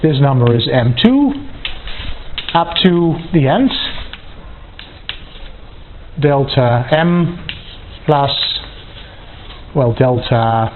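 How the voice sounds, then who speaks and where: A man giving a mathematics lecture, working step by step in a halting, self-correcting way. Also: medium pitch, lively intonation. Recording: thin phone-like band, clearly noisy, clicks.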